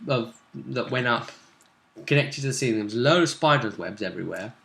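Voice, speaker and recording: British man, conversational game master, forward bright tone, echoey room recording. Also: medium pitch, lively intonation, a little fast, creaky. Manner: conversational, explanatory. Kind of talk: casual recap of a tabletop role-playing session.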